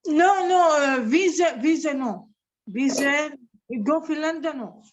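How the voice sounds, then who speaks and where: A woman from Iraq attending a webinar, asking questions in halting, broken English over a video call. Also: high-pitched, expressive, low-quality recording.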